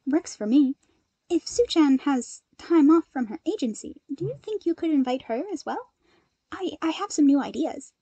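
A plucky young hero secretary, voiced by a woman reading a story. He is bubbly and playful, pitched high and light, teasing those around him. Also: animated, normal speed, dark tone, no room sound, dull audio. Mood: upbeat, playful.

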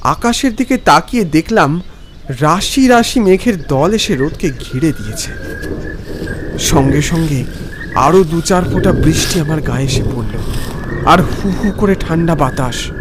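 A man narrating a scripted first-person story in a dramatic, expressive way. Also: fairly high pitch, unhurried, background noise.

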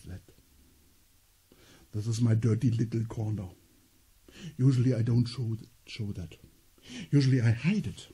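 A middle-aged man from northern Germany speaks in a German accent, with a very dark and somewhat husky voice. He tells his story slowly and gently, often pausing mid-thought like a solo stage performer. He is recorded on a small dark home stage, heard through a camera mic at mid distance. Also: medium pitch. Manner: gentle, halting, slow storytelling with pauses. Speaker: theater performer.

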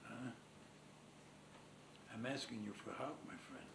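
A gravelly menacing mobster. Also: male voice, steady tone, a little slow, echoey room, noisy background. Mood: urgent. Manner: low, clipped and threatening. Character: aging male mobster, menacing and guarded.

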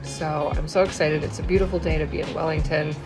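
A woman's voice: deep voice, steady tone, fast, music in the background, low-quality recording. An American woman in her forties vlogging in a casual, chatty way, laughing often and dropping ums and uhs. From United States. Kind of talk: casual vlog narration. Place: small bright room indoors, then walking outdoors in the city.

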